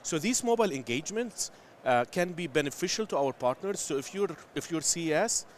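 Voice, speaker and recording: A man's voice: fairly high pitch, expressive, brisk, a little hiss or hum. Chatty male founder from Lebanon. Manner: eager, loose and chatty, with restarts and filler. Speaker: company founder and CEO. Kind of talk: live trade show interview. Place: busy trade show floor. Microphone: headset.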